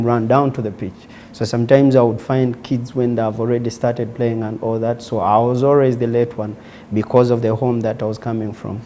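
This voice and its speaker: A man's voice: medium pitch, warm tone, slight background noise. A man in his twenties with a Ugandan accent, telling his personal story conversationally as an interview guest. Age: 20s. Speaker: interview guest, former footballer.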